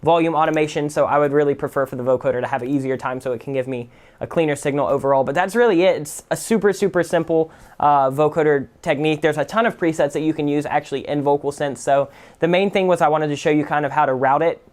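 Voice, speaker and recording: Man with slightly high voice, casual tutorial. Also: fast, a little mellow. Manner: informal, explanatory, full of "like" and "kind of". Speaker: music production tutorial host. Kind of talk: casual, unscripted software tutorial.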